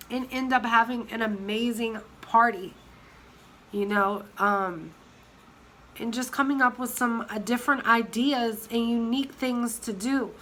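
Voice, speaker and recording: A woman in her thirties with a cold, hoarse and sniffly, chatting casually in a rambling way with many fillers and restarts. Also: on the high side, bright, forceful tone, slight background noise. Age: 30s. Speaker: vlogger. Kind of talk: casual chat.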